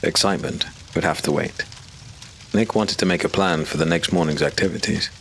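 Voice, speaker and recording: A man with an exceptionally deep voice narrates a dark fantasy audiobook in a measured, ominous manner. His delivery is scripted and steady. A clear hiss runs under the recording.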